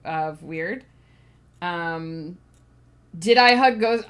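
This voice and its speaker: Slow, low-voiced sniffly woman streamer. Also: a bit bright. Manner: rambling, thinking aloud with many fillers, chatting to viewers. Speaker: author streaming her writing process. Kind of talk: casual livestream chat.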